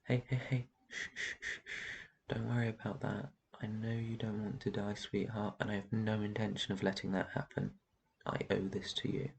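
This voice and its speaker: An ancient vampire master, acted out by a man, with a low voice full of gravelly creak. He speaks in a gentle, protective and soothing way, as in an intimate roleplay ASMR. His delivery stays very even, with slight noise behind it. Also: moderate pace. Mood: reassuring, protective. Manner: soft shushing, reassuring, tender endearments. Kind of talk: roleplay ASMR, intimate one-sided dialogue.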